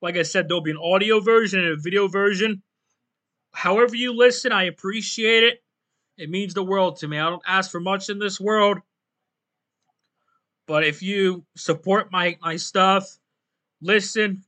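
A man hosting his own podcast in a casual, rambling solo talk, his voice going hoarse and often clearing his throat. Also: sits high, pushed and bright. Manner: rambling, loose and self-deprecating, many fillers. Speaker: podcast host.

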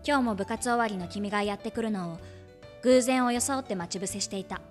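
A woman playing an earnest, shy high school girl in a Japanese audio drama, gentle and hesitant in manner. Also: a little fast, faint music behind, natural voice. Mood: tender, wistful. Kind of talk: Japanese audio drama acting with first-person narration.

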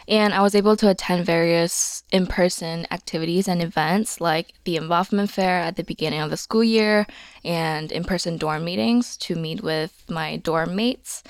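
A woman in her twenties who grew up in Singapore, a student guest giving earnest, measured answers on a podcast. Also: on the low side, no room sound. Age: 20s.

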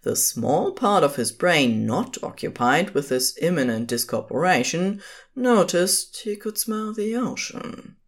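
A woman's voice: lowish voice, very animated, measured pace. A woman reading scripted fiction as the narrator of an audio drama.

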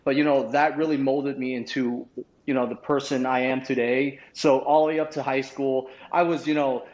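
A man's voice: medium pitch, level tone, moderate pace, a little mellow. A man from Vietnam in his thirties, a podcast guest telling his life story casually, rambling with many restarts and fillers. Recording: roomy, dull lo-fi sound.

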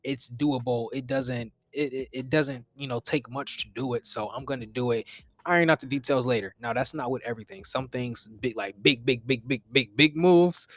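A man's voice: medium pitch, moderate pace, fairly bright tone. A man around twenty co-hosting a podcast, talking earnestly about goals and chasing dreams, with frequent ums. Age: 20s. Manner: earnest, motivational, hesitant fillers. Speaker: podcast co-host. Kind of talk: casual podcast chat.

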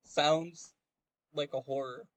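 A man's voice: on the high side, steady tone, takes it slowly, no echo. A man in a casual, argumentative chat about games, speaking emphatically and swearing freely. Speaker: podcast co-host.

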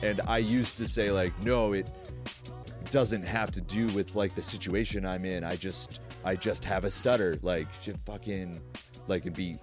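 A man's voice: low voice, fairly even intonation, a little slow, music in the background. A man who sometimes stutters, talking candidly as a guest in a casual podcast conversation. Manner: thoughtful, candid, speaks with some stuttering and restarts.

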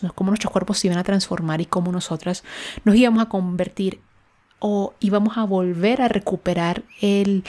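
A woman's voice: mid-range voice, darkish tone. A woman hosting a podcast, telling a personal story in a conversational, reflective way, sometimes searching for words. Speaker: podcast host.

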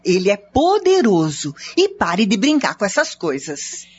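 A woman's voice: medium pitch, sing-song, unhurried, dull audio, slight background noise. An actress playing a devout, superstitious wife in a radio drama, chiding her husband. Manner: chiding, defensive.

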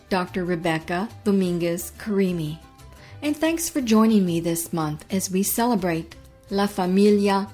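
A middle-aged woman hosting a program, reading a welcoming scripted introduction with measured delivery. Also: mid-range voice, darkish tone. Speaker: podcast host and interviewer. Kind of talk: scripted intro then interview questions.

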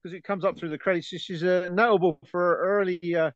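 A man's voice: high-pitched, fairly even intonation, brisk, no echo. A man co-hosting a casual film podcast who laughs often and trails off into fillers. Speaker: podcast co-host. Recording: dry, dull lo-fi sound.

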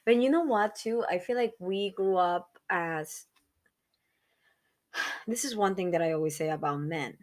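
A woman's voice: fairly low pitch, measured pace, long pauses. A woman in her thirties co-hosting a casual podcast chat, earnest and reflective, talking in long run-on thoughts. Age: 30s. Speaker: podcast co-host.

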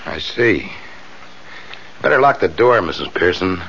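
An actor playing a polite, probing, quietly menacing salesman lodger in a 1970s radio drama. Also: low voice, very animated, unhurried, exaggerated voice. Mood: calmly sinister. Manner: polite, smooth-talking, probing. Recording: dull, noisy 1970s radio recording.

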